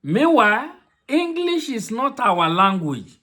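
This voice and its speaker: A man's voice: very high voice, very animated, slow pace, sharp tone. A man with a Yoruba Nigerian accent delivering a fiery, mocking political commentary, switching between Yoruba and English. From southwest Nigeria. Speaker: online commentator.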